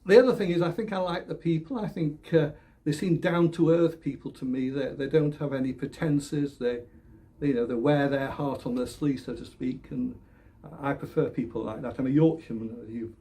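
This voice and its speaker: An older man from the north of England, a political candidate answering interview questions in a halting way, often repeating words, with small laughs. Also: mid-range voice, fairly even intonation, warm tone. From England, born Leeds, raised Oldham. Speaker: election candidate.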